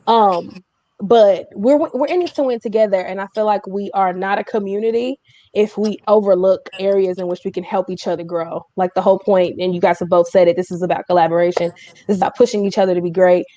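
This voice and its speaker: A woman's voice: medium pitch, fairly even intonation, fairly quick, warm tone, no echo. A woman hosting a casual livestream chat, talking in an informal, chatty way with joking asides. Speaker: host of a music community livestream.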